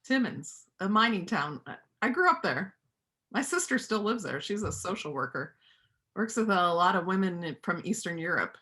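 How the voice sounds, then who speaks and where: Bright-voiced, gentle widowed farm woman, acted by a woman. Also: on the low side, sing-song, normal speed. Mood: warm, modest. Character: Iris, kind widowed farm-animal worker and painter. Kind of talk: stage reader's theater dialogue.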